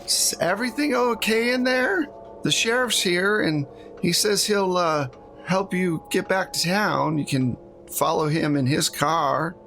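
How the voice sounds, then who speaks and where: A man's voice: high voice, unhurried, creaky, fairly bright tone, faint music behind, pitched above the performer's own voice, exaggerated voice. A man voicing a polite, concerned little old lady in a tabletop roleplaying game. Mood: solicitous.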